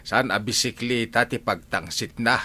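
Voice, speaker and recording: An actor playing an aging farmer, a resigned and grumbling village elder, in an Ilocano radio comedy drama. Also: male voice, mid-range voice, moderate pace, pushed and bright. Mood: disapproving. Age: older.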